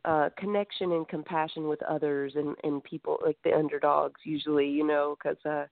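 A woman's voice: low-pitched, level tone, moderate pace, creaky, no echo. A woman born in Greece, a musician guest in a radio interview, talking reflectively with many fillers over a phone line.

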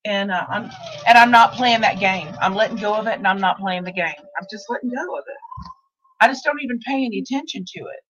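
A woman's voice: mid-range voice, brisk, a bit bright, muffled recording, a little hiss or hum. Woman in her 60s, rambling host. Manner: rambling, repeats herself, restarts often. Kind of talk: casual podcast chat.

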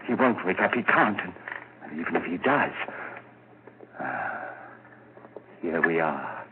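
Obsessive murderer for old radio thriller, very low and unhurried, tormented, on a muffled vintage recording. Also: male voice, sing-song, background noise, heavily acted. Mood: hushed, obsessive. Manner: hushed, halting dramatic monologue. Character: tormented murderer whispering to himself, stealthy and obsessive. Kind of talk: 1940s radio horror acting.

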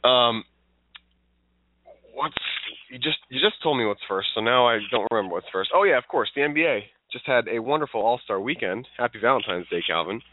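A man's voice: medium pitch, expressive, a little fast, background noise. A stuffed-up man co-hosting a casual sports podcast, rambling with restarts. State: stuffed up, congested. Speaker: podcast co-host. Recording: tinny phone-like dry sound.

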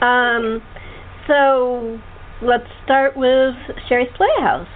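A woman hosting a casual interview, speaking haltingly, often breaking off and repeating words. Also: on the high side, steady tone. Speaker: host of a talk show. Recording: muffled tinny phone line with faint noise.

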